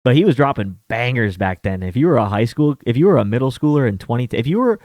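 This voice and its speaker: A man's voice: mid-range voice, big pitch swings, fast talker, a little mellow, dry recording. A man around thirty hosting a sports betting show, talking in a rambling, casual way with many fillers and some mild swearing. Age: 30s. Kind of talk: casual chat.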